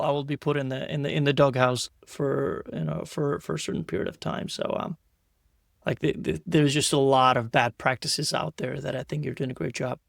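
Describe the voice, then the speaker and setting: A man's voice: mid-range voice, gravelly, dry recording, studio quality. A man hosting an interview podcast, talking in a loose, rambling way with repeated words and restarts. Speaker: podcast host. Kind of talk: casual interview chat.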